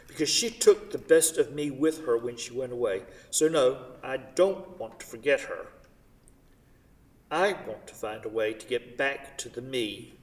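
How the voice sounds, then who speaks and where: A man's voice: medium pitch, natural voice. Man acting an insistent, wistful buyer in a distant hall. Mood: wistful, bitter. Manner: earnest, effusive, insistent. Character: wealthy, insistent, wistful divorced male art buyer. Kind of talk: staged play reading. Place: theatre stage. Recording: echoey hall, distant microphone.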